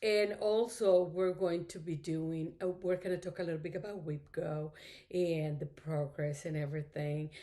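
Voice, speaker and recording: A middle-aged woman with a somewhat low voice, whose first language is Spanish. She talks casually to camera, rambling and thankful, leaning on "you know" as she goes. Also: a little mellow. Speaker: craft vlogger.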